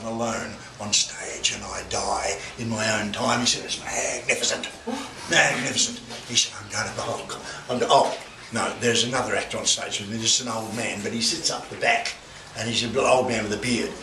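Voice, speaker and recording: An older man opens an exhibition with a rambling speech full of stumbles and crude asides. He is heard from a distance in an echoey room, with clear noise behind him. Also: lowish voice. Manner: halting, full of restarts, bawdy asides. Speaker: guest speaker opening an art exhibition. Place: gallery with a crowd. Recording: echoey room, far from the mic, noisy.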